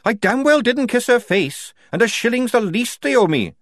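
Male narrator doing a furious young female private, high, loud and growling. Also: very animated, moderate pace, no echo, studio quality. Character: angry young female soldier, bitter and defiant.

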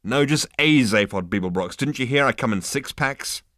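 Brief: a man voicing a swaggering, brash alien rogue, loud and full of himself, dry close recording. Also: mid-range voice, expressive, moderate pace, no echo, natural voice.

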